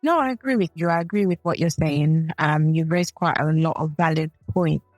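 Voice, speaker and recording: A woman's voice: deep voice, moderate pace, no room sound, faint music behind. A woman from Zambia co-hosting a faith and relationships podcast, speaking thoughtfully and often correcting herself mid-sentence. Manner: thoughtful, self-correcting, with frequent restarts. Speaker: podcast co-host. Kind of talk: casual chat.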